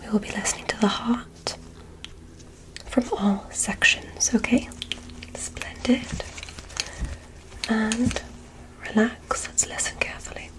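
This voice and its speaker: A woman voicing a gentle, curious alien doctor in an ASMR medical roleplay, whispering soothing, softly formal instructions. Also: a little high, fairly even intonation, takes it slowly, long pauses, low-quality recording. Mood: soothing, clinical. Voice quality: breathy whisper.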